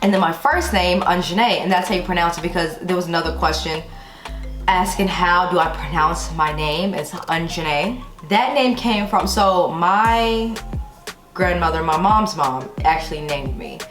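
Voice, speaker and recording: A woman's voice: on the low side, moderate pace. An American woman in her thirties chatting casually to her viewers as a vlogger, conversational with playfully emphatic asides. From Texas, United States. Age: 30s. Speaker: YouTube vlogger. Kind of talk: casual chatty question-and-answer to camera. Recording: roomy echo with music behind. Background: background music.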